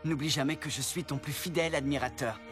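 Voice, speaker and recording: Man playing a high-voiced earnest young prince. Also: fairly even intonation, fast talker, loud music behind the voice, close to an everyday voice. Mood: reassuring. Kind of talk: French cartoon film dub.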